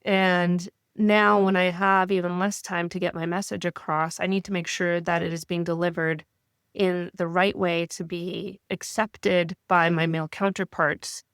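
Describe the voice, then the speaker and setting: A woman in her thirties talks as an interview guest while still recovering from a cold. Her voice sits a little low and comes through smooth and clean. She speaks in a measured, reflective way, and the sound is close and dry. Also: little pitch movement, dry recording. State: getting over a cold. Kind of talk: interview conversation.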